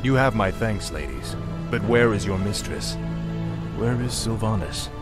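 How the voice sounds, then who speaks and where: An actor playing an arrogant, failing dark king in a fantasy video game, urgent and dramatic. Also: fairly low pitch, animated, unhurried. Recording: game dialogue under loud music.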